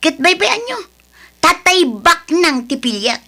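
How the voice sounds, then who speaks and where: An actress playing a demanding, snobbish, sharp-tempered single mother in a radio drama, with an Ilocano accent. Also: very high voice, very animated, measured pace, gravelly, heavily acted. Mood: scornful.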